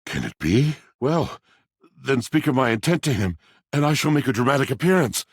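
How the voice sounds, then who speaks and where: An actor playing a grandiloquent, sentimental washing machine in a video game, speaking like a florid, old-fashioned theatrical gentleman. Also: medium pitch, lively intonation, moderate pace, husky, dry recording, clean studio sound. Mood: earnest, dramatic.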